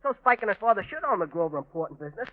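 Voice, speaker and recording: Through a tinny vintage radio recording comes an earnest young newsboy. He is high-pitched and garrulous, rattling off slangy street talk at a quick clip. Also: sing-song. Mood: eager, confiding. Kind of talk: 1940s radio acting.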